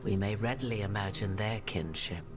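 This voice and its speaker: A woman playing a solemn human sorceress in a video game, speaking in measured, archaic, formal phrases. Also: a bass voice. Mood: measured, proud. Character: solemn human sorceress bearing an ancient goddess's memories. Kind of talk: dramatic video game dialogue. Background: prominent music.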